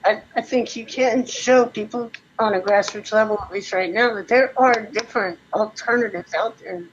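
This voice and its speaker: A woman's voice: mid-range voice, moderate pace. A woman speaks hesitantly in a casual meeting, stumbling and restarting her sentences. The recording sounds dull and muffled, with a faint hiss behind her. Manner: hesitant, with stammered restarts and fillers. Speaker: group member. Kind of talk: casual group discussion.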